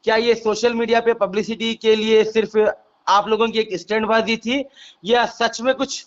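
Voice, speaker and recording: A man's voice: high voice, level tone, normal speed. A man with a Hyderabadi accent working as a reporter, asking probing questions on a recorded phone call. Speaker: reporter conducting an interview.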